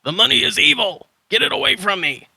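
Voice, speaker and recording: A man's voice: high-pitched, sing-song, a little fast, gravelly, bright, forceful tone, slightly rough, no echo, full character voice, low-quality recording. A man playing a crazy old hermit dinosaur trader in a children's audio drama, flustered and tangling his sentences. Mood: panicked, alarmed. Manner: muddles and swaps word order, then corrects himself.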